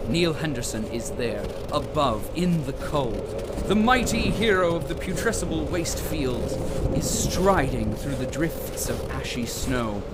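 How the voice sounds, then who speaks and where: A solemn, grandiose storyteller narrating a radio drama. He is slow and portentous, weighing each dramatic phrase. Loud noise sits under the voice. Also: a little high. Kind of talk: scripted narration for audio drama.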